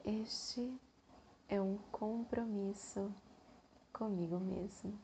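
A woman with a Brazilian Portuguese accent leads a guided meditation in a soothing manner, leaving long pauses between phrases. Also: medium pitch, steady tone, warm tone, slight background noise.

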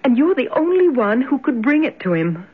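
A woman's voice: high voice, very animated, moderate pace. Worried young woman, hesitant, confiding, dull old radio drama recording. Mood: anxious, confiding. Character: troubled, inquisitive young woman confiding in a minister.

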